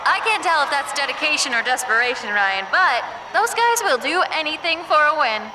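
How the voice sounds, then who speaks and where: A woman's voice: high-pitched, sing-song, moderate pace, sharp tone, a little hiss or hum, heavily acted, low-quality recording. A woman playing a peppy, wryly impressed co-commentator calling a live event in an audio drama. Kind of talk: sports-style commentary.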